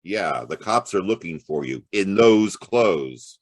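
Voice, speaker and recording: An actor playing a wry, hard-boiled private detective in a noir audio drama, narrating and talking in terse slang. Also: male voice, low-pitched, expressive, takes it slowly, exaggerated voice. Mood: firm, insistent.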